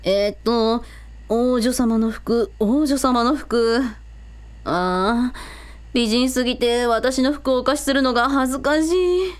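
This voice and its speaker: A woman playing a brisk, teasing big sister in a casual, comic way for a Japanese audio drama. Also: a little high. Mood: musing.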